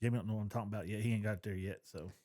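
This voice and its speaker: A man's voice: on the low side, fairly even intonation, rapid-fire, warm tone. A middle-aged man, co-hosting a podcast in casual chat, giving opinions in a plain-spoken, folksy way. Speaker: podcast co-host.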